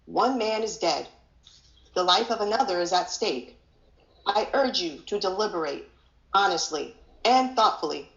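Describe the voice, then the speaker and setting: A woman's voice: mid-range voice, animated. Woman reading as a solemn judge, echoey room. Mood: grave, solemn. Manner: formal, measured. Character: grave, solemn male judge instructing a jury. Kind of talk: scripted play reading. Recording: echoey room, dull lo-fi audio.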